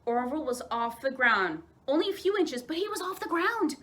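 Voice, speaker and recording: Female children's storyteller, higher-pitched voice, very animated delivery with dramatic pauses. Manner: expressive, with dramatic emphasis and pauses. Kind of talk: read-aloud children's storytelling.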